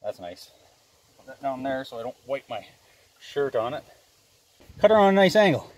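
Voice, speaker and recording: A man's voice: medium pitch, very animated, normal speed, poor audio. A man hosting a hands-on repair vlog, chatting casually and folksily to viewers, with wry jokes and muttered asides. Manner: folksy, wryly joking, muttering asides to himself. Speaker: host of a do-it-yourself repair vlog. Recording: loud clattering and clicking work noise.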